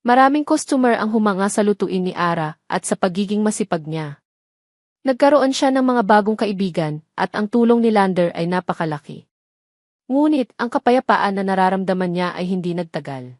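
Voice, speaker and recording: A woman's voice: mid-range voice, animated, moderate pace, darkish tone, no room sound, clean studio sound. A woman reading scripted dramatic narration as the storyteller of an audio romance.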